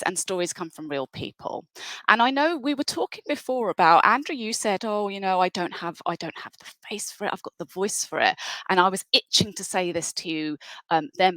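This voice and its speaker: A woman's voice: mid-range voice, sing-song, normal speed, a bit bright, dry recording, poor audio. Enthusiastic woman presenting a webinar. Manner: enthusiastic, conversational, with fillers and restarts.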